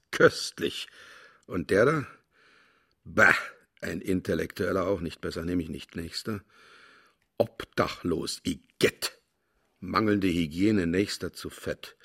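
An actor in a German radio play voicing a grumpy, vain aging official, curt and disdainful. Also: male voice, low voice, vocal fry, a bit bright, breathy edge, full character voice. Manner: curt, muttering, disdainful, indignant when challenged. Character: grumpy, vain, aging male official sorting human candidates.

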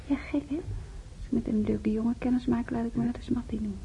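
Actress as a high, creaky-voiced young nurse. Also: female voice, little pitch movement, fast, dark tone, close to an everyday voice. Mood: soft confiding. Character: young female nurse, brisk, tart, confiding. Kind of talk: Dutch radio play acting. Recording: dull, noisy radio drama recording.

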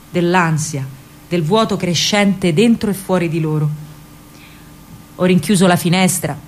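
A woman playing a disillusioned, fatherly older Roman man in a radio monologue, speaking gravely and deliberately in a hushed way with a Roman accent. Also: fairly low pitch, natural voice.

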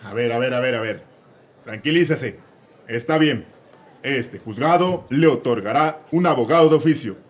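A man's voice: medium pitch, normal speed. A man playing a bossy, corrupt male judge in a Mexican radio comedy sketch. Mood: calming. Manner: bossy, exasperated.

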